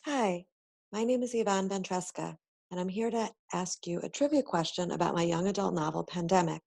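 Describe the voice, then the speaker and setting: A woman speaks in a slightly low register. She is an author presenting her book and a trivia question in a prepared, orderly way. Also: fairly even intonation, a little fast, gravelly, a little mellow. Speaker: young adult novelist.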